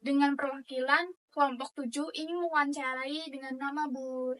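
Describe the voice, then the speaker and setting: A woman's voice: high voice, fairly even intonation, moderate pace, a bit bright. A young adult woman, a student interviewer, formally introducing an interview, recorded at a distance from the microphone.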